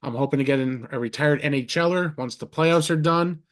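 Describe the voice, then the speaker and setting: A man hosting a podcast over a video call, rambling warmly, restarting his sentences and heaping compliments on his guests. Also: a little high, brisk. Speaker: podcast host. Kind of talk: casual interview chat.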